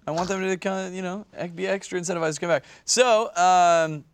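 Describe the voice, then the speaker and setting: A man's voice: high voice, fairly even intonation, a little fast, slight background noise. A man co-hosting a podcast, bantering casually and loosely with the panel. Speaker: podcast co-host.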